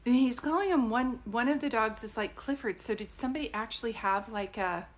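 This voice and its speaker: Middle-aged woman, psychic on a casual live stream, chatty and fairly high-pitched, restarts her words as she goes. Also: a little fast. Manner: chatty, thinks aloud, stops to restart words. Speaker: psychic medium.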